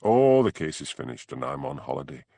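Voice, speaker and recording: A man playing a dry, stubborn village policeman with a Scottish Highland accent, in an audiobook. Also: sing-song, brisk, creaky, dark tone, dry recording, exaggerated voice. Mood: resigned. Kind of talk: audiobook character dialogue.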